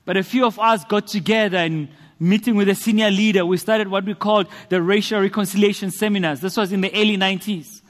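A man's voice: high-pitched, steady tone, fast, few pauses. A South African man preaching a sermon and sharing his testimony, earnest and rhetorical, building emphasis through repetition. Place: church hall. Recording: long reverb of a church hall.

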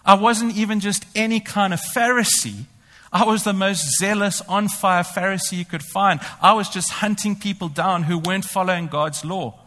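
A man's voice: sits high, normal speed, a bit bright. A man preaching a sermon. He talks animatedly, often speaking in the first person as the apostle and boasting ironically. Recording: long hall reverb.

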